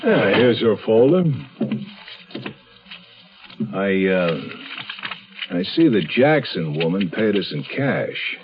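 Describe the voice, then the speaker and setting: An actor playing a cagey, mercenary private investigator in a 1970s American radio drama, brusque and sardonic. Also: male voice, on the low side, sing-song, a little slow, background noise. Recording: dull 1970s radio recording.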